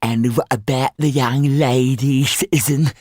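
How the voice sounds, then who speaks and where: A man voicing an ugly, bad-tempered, drunken prison turnkey in an audiobook, sneering and drawling with a rasp. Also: medium pitch, steady tone, unhurried, a little mellow, no room sound, studio quality, pitched above the performer's own voice, close to an everyday voice. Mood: sneering, mock-polite.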